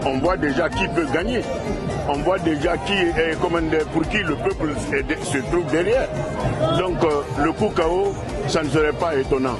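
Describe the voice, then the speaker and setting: A man, a campaign official giving an interview outdoors at an evening rally, halting and repeating himself with many fillers. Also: fairly high pitch. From Guinea. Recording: outdoor rally with loud music and crowd. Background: loud music and crowd.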